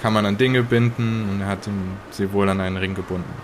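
Man as grim 1920s survivor, audio drama, lowish voice, hesitant delivery, faint noise. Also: steady tone, a little fast, lightly acted. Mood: grave, matter-of-fact. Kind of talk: in-character audio drama retelling.

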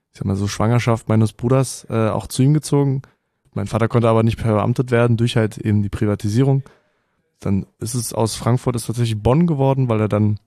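Relaxed bilingual man in his thirties. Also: medium pitch, no room sound, clean studio sound. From Denmark and Germany. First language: Danish and German, raised bilingual. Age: 30s. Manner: loose, rambling storytelling with many fillers. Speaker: podcast guest. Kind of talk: casual podcast conversation.

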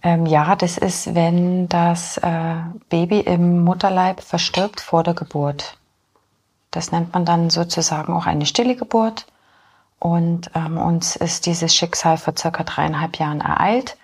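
A woman in her forties, born in Poland and raised in Germany, speaking as an interview guest in a hesitant way with many filler pauses. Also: fairly low pitch, steady tone, darkish tone, husky. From born in Poland, grew up in Germany. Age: 40s. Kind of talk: personal interview talk.

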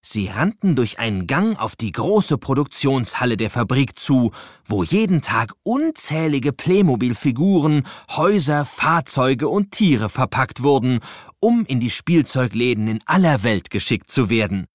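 Male narrator for kids' audio play: playful, dramatic, very animated, mid pitch, dry close sound. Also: a little slow, no echo. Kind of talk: scripted children's story narration.

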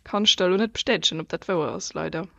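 A woman's voice: on the low side, fast, vocal fry, pushed and bright, no room sound, highs cut off. A woman with a Luxembourgish accent co-hosting a podcast in casual chat, laughing often and breaking off into restarts. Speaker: podcast co-host.

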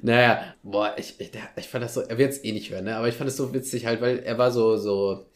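A man's voice: mid-range voice, steady tone, fast talker, a crowd in the background. Young man bantering in slang. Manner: slangy, youthful, playfully exaggerating. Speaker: podcast co-host. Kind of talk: casual humorous banter.

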